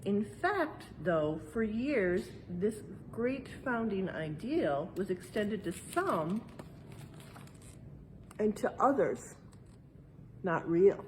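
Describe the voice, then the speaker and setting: A woman's voice: medium pitch, a little mellow, low-quality recording. Woman reading aloud over noticeable room noise. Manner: explanatory, checks in with tag questions. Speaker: museum educator reading a picture book aloud. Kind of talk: read-aloud storytime with casual explanatory asides. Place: indoors in a museum exhibit.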